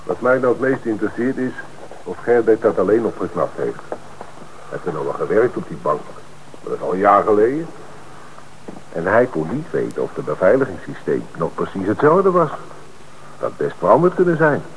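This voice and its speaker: A matter-of-fact detective in an old radio play, with a fairly low voice. A faint hiss runs under the recording. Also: male voice, big pitch swings, moderate pace, poor audio. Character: practical male detective, the commissioner's assistant. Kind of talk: radio drama acting.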